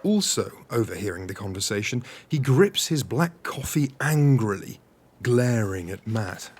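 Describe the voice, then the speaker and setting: An actor playing an earnest director at a table read, narrating a script in an audio comedy. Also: male voice, lowish voice, sing-song, unhurried, husky, heavily acted.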